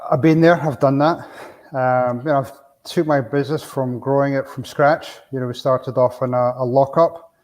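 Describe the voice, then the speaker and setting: A middle-aged Scottish man speaking in a plainspoken, casual way as a podcast guest. His voice sits at an ordinary middle pitch, and he tells his stories loosely, with plenty of "you know" along the way. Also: steady tone, moderate pace, a little mellow. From Scotland. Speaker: business coach guest.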